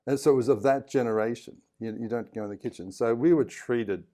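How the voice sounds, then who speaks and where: A man in his sixties with an Australian accent, a podcast guest telling stories in a casual, animated way. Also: medium pitch, brisk. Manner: animated storyteller who acts out other people's lines and laughs often. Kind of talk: casual storytelling interview.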